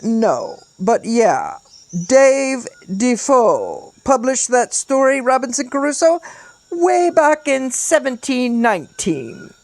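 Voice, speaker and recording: A woman's voice: high voice, sing-song, slow pace, dry recording, pitched above the performer's own voice, exaggerated voice. A woman voicing an evasive, bookish male stranger on an island, hesitant and vague as he rambles.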